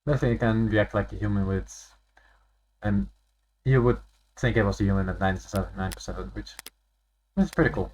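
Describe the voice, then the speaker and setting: A man doing a live playthrough of a game, reading its dialogue out loud as he goes. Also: fairly low pitch, fairly quick, pauses often, poor audio. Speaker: streamer voicing a game playthrough. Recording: loud music behind the voice. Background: loud game music.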